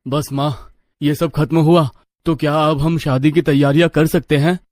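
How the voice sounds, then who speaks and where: A woman's voice: low voice, steady tone, normal speed, a little mellow, no room sound, clean studio sound, pitched below the performer's own voice, lightly acted. A woman voicing an anxious, stubborn young man, a reluctant groom who protests in a cartoon story. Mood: earnest, stubborn.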